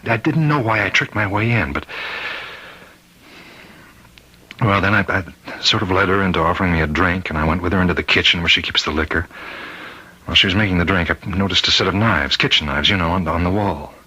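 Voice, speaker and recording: Husky, anguished prison warden. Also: male voice, low voice, sharp tone, exaggerated voice, rough recording. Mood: halting confession. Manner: halting, anguished, repeating himself. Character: tormented prison warden, husband fearing he is possessed. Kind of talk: old-time radio drama acting. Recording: vintage radio drama recording.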